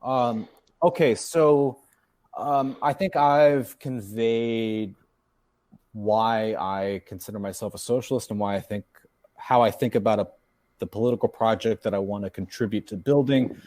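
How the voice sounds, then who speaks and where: A man's voice: lowish voice, steady tone, a little slow, darkish tone. A man in his thirties, a guest on a casual podcast, talking in an earnest, chatty way and explaining things at length. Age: 30s.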